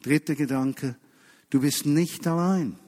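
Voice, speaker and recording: A man preaching a sermon to a congregation, emphatic, stretching key phrases and dropping to a hush. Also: on the high side. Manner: emphatic, with slow drawn-out key phrases and hushed drops. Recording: long hall reverb.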